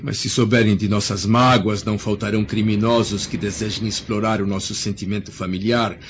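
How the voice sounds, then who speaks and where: A weary, guarded Roman senator and father acted by a man speaks low and solemn with a Brazilian accent. His lines are formal and measured, in an old radio drama with dull sound and faint noise. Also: steady tone, natural voice. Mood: sorrowful.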